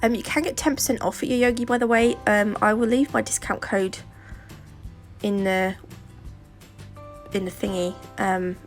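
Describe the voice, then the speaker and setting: A British woman narrates a home nail tutorial, her voice gravelly and thickened by a sore throat and a stuffy nose. She rambles cheerfully, trailing off into fillers and asides. Faint music plays softly behind her. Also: mid-range voice, fairly even intonation, moderate pace, long pauses. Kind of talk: casual how-to narration.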